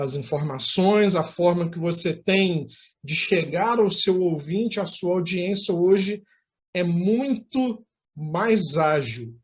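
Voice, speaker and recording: A man with a Brazilian accent hosting an online interview in formal, wordy phrasing. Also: high voice. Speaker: interview host.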